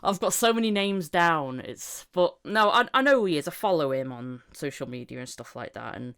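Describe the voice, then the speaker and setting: A woman's voice: low voice, expressive, moderate pace, fairly bright tone, smooth. A woman hosting a casual interview podcast, talking in an enthusiastic, rambling way. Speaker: podcast host.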